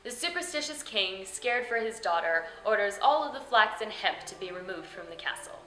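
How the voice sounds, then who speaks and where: A woman's voice: medium pitch, lively intonation, moderate pace, pushed and bright. A woman hosting with scripted comic narration in a theatrical, tongue-in-cheek manner. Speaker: host and storyteller. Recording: roomy echo, faint music behind.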